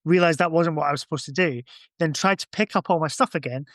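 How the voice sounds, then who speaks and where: Higher-voiced man chatting, rambling a bit. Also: quick pace, fairly bright tone, no echo, studio quality. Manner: rambling, thinking aloud. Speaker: podcast guest or co-host. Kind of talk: casual chat.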